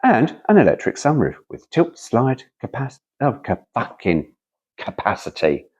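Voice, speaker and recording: A man's voice: medium pitch, big pitch swings, a little slow, darkish tone, husky, highs cut off. A man reading aloud casually as a host, breaking off for chatty, wry, digressive asides.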